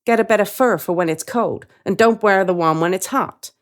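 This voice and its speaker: A woman's voice: mid-range voice, moderate pace, pitched above the performer's own voice, close to an everyday voice. A woman voicing a casual, matter-of-fact man, a regular customer in a short story. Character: casual male regular customer of a street worker.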